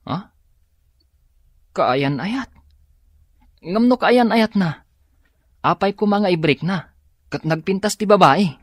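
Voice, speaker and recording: A man's voice: high voice, expressive, takes it slowly, long pauses, no echo, exaggerated voice. Earnest, troubled young Ilocano man, dry studio. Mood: puzzled. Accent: Filipino, Ilocano. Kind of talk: Ilocano radio drama acting.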